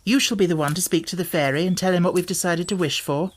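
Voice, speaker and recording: A woman's voice: lowish voice, fast, natural voice. A woman voicing a polite, eager young girl in a storybook, in a well-mannered way. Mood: gentle.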